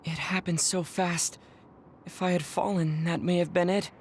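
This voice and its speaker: A woman voicing a video game character, a small, child-looking man decades older than he seems, with formal, measured, earnest diction. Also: fairly low pitch, flat delivery, a little mellow, a little hiss or hum, close to an everyday voice. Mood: reflective. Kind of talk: dubbed video game cutscene acting. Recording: music behind the voice.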